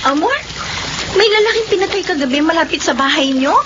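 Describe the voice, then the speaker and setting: Muffled radio drama audio with clear background noise. a startled, gossipy young Filipino village woman with a very high voice. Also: lively intonation, a little fast. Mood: alarmed, curious. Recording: muffled, noisy riverside scene audio.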